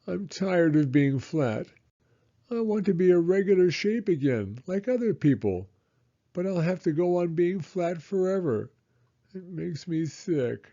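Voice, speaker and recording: A man's voice: high voice, lively intonation, dark tone, muffled recording, voice pushed up, exaggerated voice. A man voicing a hurt, glum young boy hero from a storybook in a plaintive way. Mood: sad. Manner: plaintive, drawn-out.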